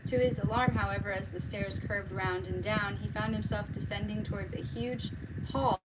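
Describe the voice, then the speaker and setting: A woman's voice: mid-range voice, steady tone, moderate pace, heavy background noise. A woman reading a storybook aloud as narrator. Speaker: reader, streamer.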